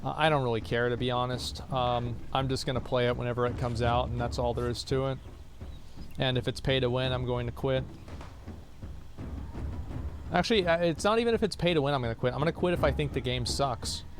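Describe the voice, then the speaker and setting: A man's voice: medium pitch, fairly even intonation, fast. A young man talks casually into a desk mic while he plays a game on stream. He answers viewers' questions bluntly, wandering off mid-thought and circling back. A faint background hum sits under his voice. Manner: rambling, blunt, reads out and answers chat questions. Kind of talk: casual chat with livestream viewers while gaming.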